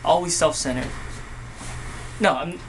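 A man acting a hurt younger brother in an emotional dramatic monologue, speaking in a halting, emotionally raw way. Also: a little high, slight background noise, rough recording. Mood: bitter, anguished. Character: hurt younger brother pleading with self-centered older brother.

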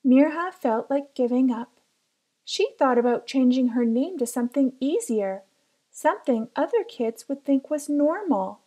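Woman, high voice, unhurried pace, expressive children's picture book narration, careful storyteller. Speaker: storybook reader.

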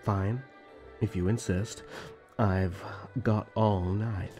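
A man's voice: lowish voice, steady tone, slow, dark tone, faint music behind. A man playing a haughty, sly rival elf prince in a roleplay audio drama, with a condescending, theatrical drawl. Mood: intrigued, aloof.

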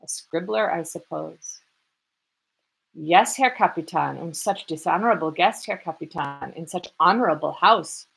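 A woman's voice: lowish voice, creaky, fairly bright tone, slight background noise, poor audio. A woman doing a German landlady, fawning. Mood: indignant pleading. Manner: broken English, rapid and indignant. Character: fawning middle-aged landlady, excitable.

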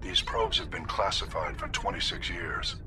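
A man playing a grave, authoritative senior admiral giving a formal, deliberate mission briefing in a video game. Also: low voice, wildly swooping pitch. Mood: urgent, formal. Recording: clearly noisy background under the voice.

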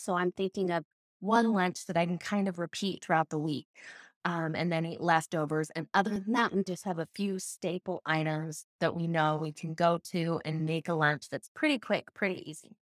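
Chatty woman podcaster, low-pitched and dry. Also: brisk, no echo. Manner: chatty, expressive, gesturing. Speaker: podcast host. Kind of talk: casual solo podcast advice. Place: home office room.

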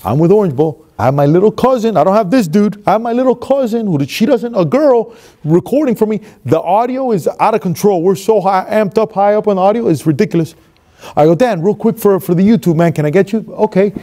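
A man in his thirties from Miami tells a motivational story, swinging between hushed and loud as he acts it out. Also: sits high, expressive, brisk. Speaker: motivational speaker. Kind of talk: storytelling monologue. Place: stage with red curtain, seated.

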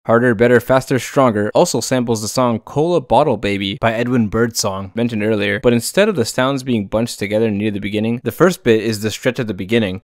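A man's voice: mid-range voice, brisk, hardly any pauses, dry recording, clean studio sound. A man giving a casual, playful and enthusiastic scripted voice-over about music. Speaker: music video essayist.

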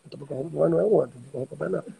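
A Brazilian man talks to his live-stream followers in a high voice for a man, heavily creaky and raspy. He is informal and emphatic, repeating key phrases as he teaches. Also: racing, dark tone, rough recording. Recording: livestream audio reposted from social media.

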